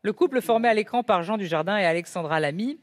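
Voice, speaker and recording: A woman's voice: mid-range voice, expressive, fast talker, background noise. A woman in her sixties hosting a word game show, reading clues with emphasis and laughing often. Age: 60s.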